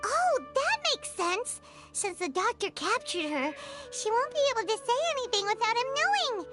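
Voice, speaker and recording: A little fairy sidekick for a video game, with a childlike, squeaky voice pitched extremely high. Her delivery is chatty and exclamatory, swinging widely in tune, over clearly audible music. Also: sing-song, moderate pace. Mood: puzzled, worried. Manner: exclamatory, refers to self in third person. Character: tiny childlike female fairy companion, excitable, loyal, chatty.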